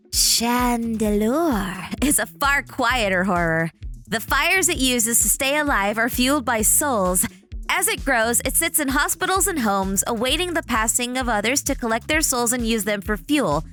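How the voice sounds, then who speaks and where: Woman, dramatic scripted narration, high voice, lively swooping intonation, soft music behind. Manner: dramatic, animated storytelling delivery.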